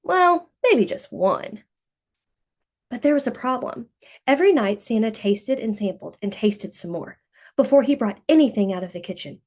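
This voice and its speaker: A woman reading a picture book aloud to young children in an expressive storytelling manner. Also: a little high, a little fast.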